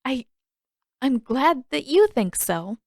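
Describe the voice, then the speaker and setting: A woman's voice: high-pitched, big pitch swings, slow, gravelly, a little mellow, dry recording, studio quality, pitched above the performer's own voice, exaggerated voice. A woman voicing an earnest, eager teenage girl in a fantasy audiobook, with a frank and informal manner. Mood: awkward, fond.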